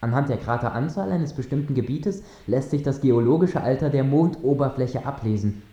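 An actor plays a measured male mission controller in a radio drama. His mellow, relaxed voice gives formal explanations, heard with clear echo and a slightly dull sound. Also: medium pitch, close to an everyday voice. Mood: explaining. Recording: dull, echoing transmission sound.

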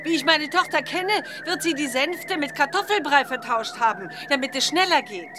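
A woman acting a capable, confident witch mother in a children's audio drama, crisp and assured. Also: high voice, animated, normal speed, music in the background.

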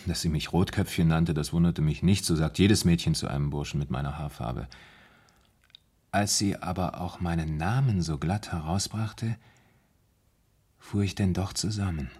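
A man's voice: low voice, moderate pace, a little mellow, slightly rough. An actor playing a troubled, deferential ex-soldier in a radio play, earnestly recounting his story. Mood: uneasy, confiding. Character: troubled, deferential red-haired ex-soldier recounting strange memories.

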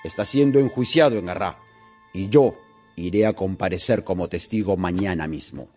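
An actor playing a rigid, law-obsessed police inspector in a radio drama, formal, deliberate and brooding. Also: male voice, low voice, a little mellow, soft background music. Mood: formal, stern.

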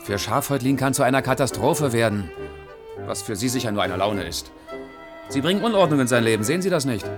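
A man's voice: mid-range voice, lively intonation, a little fast, music in the background, close to an everyday voice. An actor playing a stern, sardonic man who speaks with dry reproach in a radio play. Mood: reproachful. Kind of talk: 1980s radio play dialogue.

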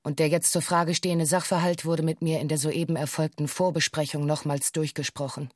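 An actress playing a female witness in a radio play, reciting a police statement in a stiff, measured, matter-of-fact way. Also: sits low, little pitch movement, dry recording, studio quality. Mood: formal, composed. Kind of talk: radio play, formal recited testimony in bureaucratic German.

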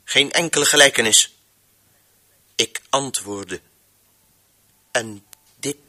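An actor playing a stern, brooding master of voices in a radio play, commanding and deliberate, with theatrical pauses. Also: male voice, mid-range voice, pushed and bright. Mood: brooding, defiant. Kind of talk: radio play monologue, declamatory.

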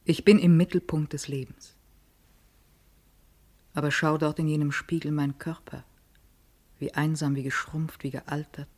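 An aging, sensual woman, lonely but defiant. Her voice is dark and soft-edged with husk. She delivers an introspective, deliberate monologue in a literary radio play. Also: low-pitched. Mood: wistful, resolute.

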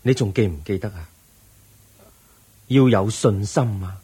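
A compassionate, firm Buddha in a radio play. He teaches solemnly and very slowly in a Hong Kong Cantonese accent, his tone swinging expressively through a heavily husky voice. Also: lowish voice, expressive, warm tone, exaggerated voice. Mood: solemn encouragement. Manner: measured, solemn, instructive. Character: serene, wise male Buddha, compassionate and firm. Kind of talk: Cantonese radio drama acting.

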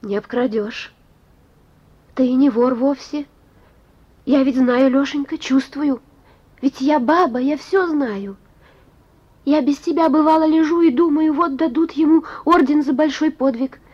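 A woman's voice: sits high, unhurried, pauses often, a little mellow. An actress playing a devoted, plain-spoken young working woman fretting over her sweetheart, folksy and lamenting, on a muffled radio play recording. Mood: reproachful, devoted. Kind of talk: Russian radio play acting.

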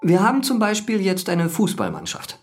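A man's voice: sits high, huge pitch swings, moderate pace, music in the background. A man acting a polite, sociable, slightly flustered office colleague in a German radio drama, hesitant and eager to include others. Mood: eager, friendly.

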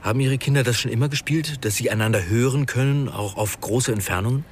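An actor playing a gentle, enigmatic, musing fellow passenger in a radio drama. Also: male voice, mid-range voice, fast, a little hiss or hum, close to an everyday voice. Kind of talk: naturalistic German radio drama acting.

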